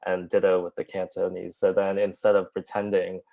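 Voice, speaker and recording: A man who wrote the film, talking through his writing choices at a festival Q&A in a relaxed, thoughtful, loosely phrased way. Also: low-pitched, moderate pace, vocal fry. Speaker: writer-director at a Q&A. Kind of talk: festival Q&A panel talk.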